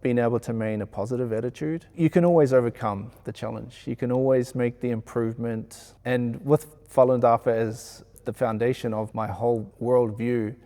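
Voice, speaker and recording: A man with a New Zealand accent reflecting in an interview in an earnest, measured way. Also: medium pitch, fairly even intonation, dark tone. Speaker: sound engineer being interviewed.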